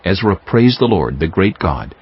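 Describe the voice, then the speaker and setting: A man narrating scripture for an audiobook in a measured, formal manner. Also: low-pitched, big pitch swings, breathy edge. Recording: dull lo-fi audio under prominent music.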